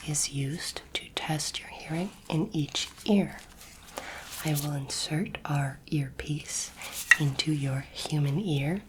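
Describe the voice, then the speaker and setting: A woman voicing a calm, clinical alien doctor in an ASMR roleplay, whispering softly in a measured, formal and soothing way. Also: sits low, noisy background. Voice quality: soft, breathy whisper. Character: calm clinical alien space doctor examining a human specimen.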